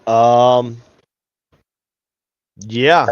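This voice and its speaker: A man's voice: medium pitch, fairly even intonation, extremely slow, clear, resonant tone, no room sound, highs cut off. A man with a Southern US accent co-hosting a casual podcast chat, talking animatedly with stammered restarts and chuckles. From American South. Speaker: podcast co-host.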